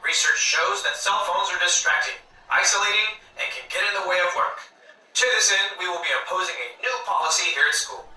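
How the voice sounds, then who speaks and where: An actor playing a stern middle-aged school principal making formal, authoritative announcements over a PA in an audio drama. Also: male voice, high-pitched, a little fast, pushed and bright. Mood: stern, official. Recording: echoey, distant school PA speaker.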